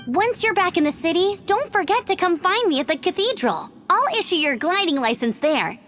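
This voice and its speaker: A spirited young outrider in a fantasy game cutscene. The voice sits very high and bounces through a lively range. Music plays clearly beneath the dialogue. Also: female voice, normal speed, exaggerated voice. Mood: chatty. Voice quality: bright, upbeat and energetic. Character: young woman, cheerful, bold outrider. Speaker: game character.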